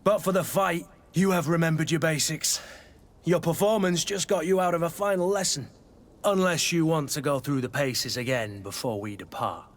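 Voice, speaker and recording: An actor playing a blunt, bantering mercenary master-at-arms and mentor in a video game. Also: male voice, a little high, steady tone, normal speed, lightly acted. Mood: wry. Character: gruff, teasing mercenary master-at-arms, loyal fighting mentor. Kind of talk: video game character acting.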